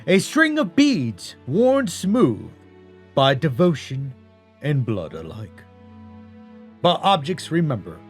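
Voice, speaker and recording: A man narrating an audiobook as an ominous, solemn collector of cursed relics, with slow, portentous, deliberate phrasing. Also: a little high, huge pitch swings, soft background music, voice pushed up, exaggerated voice. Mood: grave, foreboding.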